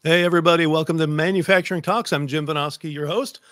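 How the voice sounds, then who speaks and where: A man's voice: on the high side, fairly even intonation, quick pace, background noise. A middle-aged American man hosting a talk show in a chatty way, close on a desk microphone. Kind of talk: chatty show introduction and interview. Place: home office with bookshelves, indoors.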